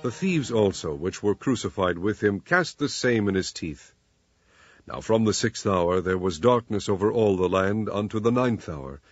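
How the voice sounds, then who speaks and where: Low-pitched, expressive man reading scripture. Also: moderate pace, dry recording. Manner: solemn, emphatic, raises voice for quoted speech. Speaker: scripture reader. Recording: muffled lo-fi with music behind. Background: music.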